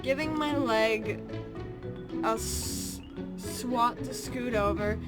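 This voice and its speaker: A woman narrating a story aloud in an amateur, halting way, correcting misread words as she goes. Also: a little high.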